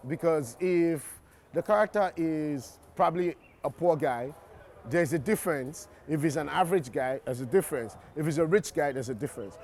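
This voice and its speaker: Nigerian male actor, interview guest, a bit high-pitched, thoughtful, repeats himself as he thinks. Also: a little mellow, slight background noise. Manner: reflective, restarts and repeats words while explaining. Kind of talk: interview answers.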